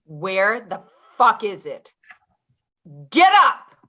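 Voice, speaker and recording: A woman's voice: medium pitch, sing-song, extremely slow, fairly bright tone, muffled recording, full character voice. A woman acting in a play reading as a volatile, foul-mouthed woman who makes confrontational, threatening demands. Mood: aggressive.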